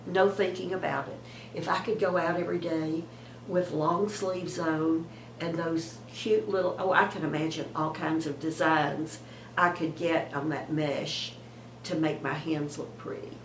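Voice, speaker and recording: A woman in her seventies, hoarse from a cold, giving chatty beauty advice to her viewers and often repeating herself. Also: lowish voice, roomy sound, a little hiss or hum, rough recording. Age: 70s. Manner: warm, chatty, repeats words and trails off. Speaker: beauty vlogger.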